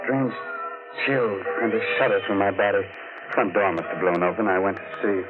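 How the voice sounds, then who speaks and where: An actor playing a macabre horror host and narrator, theatrical and tongue-in-cheek, in 1940s radio style. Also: male voice, lowish voice, moderate pace, natural voice. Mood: eerie storytelling. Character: macabre, sardonic horror host telling his ghostly tale. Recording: tinny 1940s radio recording with music.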